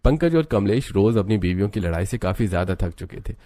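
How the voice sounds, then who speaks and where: A man's voice: on the low side, animated, a little fast, warm tone. A man narrating a scripted moral story as its storyteller.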